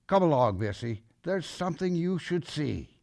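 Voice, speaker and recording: A man playing a gruff old grandfather with a softening heart in an audio drama, speaking in a folksy, halting way. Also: on the high side, big pitch swings, dry recording. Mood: gentle, gruff. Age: older.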